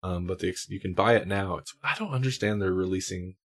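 A man's voice: low-pitched, fast, a bit bright. A man hosting a casual film discussion on a podcast. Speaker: podcast host.

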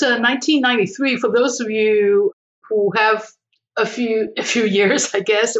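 A woman's voice: mid-range voice, highs cut off. Older woman. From Taiwan. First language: Chinese. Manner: measured, with frequent fillers and restarts. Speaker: interview guest. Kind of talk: reflective conversational storytelling.

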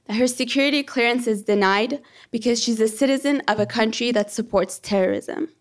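A young woman from Iran tells a true story from her own life in a slightly high voice. She speaks earnestly and with measured care, letting the reflective moments breathe. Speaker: storyteller, PhD candidate. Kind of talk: first-person stage storytelling.

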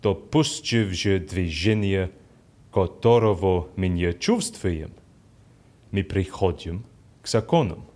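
An actor playing an earnest male prisoner in an audio drama, reading aloud in a deliberate, studious way. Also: low voice, animated, darkish tone.

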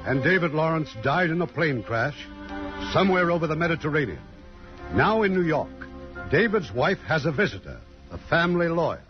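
A man's voice: a little high, very animated, fairly quick. A man hosting a radio drama, introducing it in scripted mid-century broadcast style. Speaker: producer and host. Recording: dull old radio recording with music.

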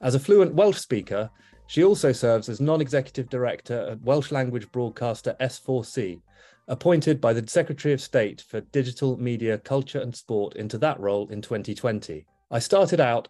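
A man hosting a business interview podcast, reading a formal introduction and then asking relaxed follow-up questions. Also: medium pitch, normal speed. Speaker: podcast host.

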